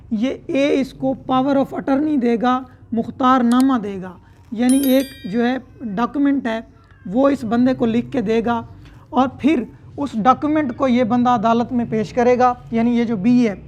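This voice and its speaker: A man's voice: extremely high pitch, steady tone, a little slow, a little mellow. A man with a Pakistani accent giving a law lecture, explaining step by step in a didactic manner with English legal terms mixed in. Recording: dull, lo-fi lecture audio.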